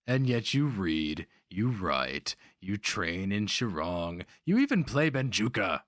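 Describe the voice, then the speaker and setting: A man's voice: lowish voice, very animated, slow, a bit bright, dry recording, studio quality, exaggerated voice. A man voicing a canny, mocking older slaver and mentor in a dramatic fantasy reading, needling and emphatic.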